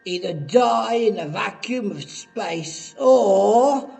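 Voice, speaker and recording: Alien warship captain, played by a man: slow, gravelly, pompous and menacing, amateur audio drama. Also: high-pitched, animated, darkish tone, soft background music, full character voice. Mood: pompous menace. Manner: theatrical, pompous, deliberate. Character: menacing, callous male alien spaceship captain who writes poetry.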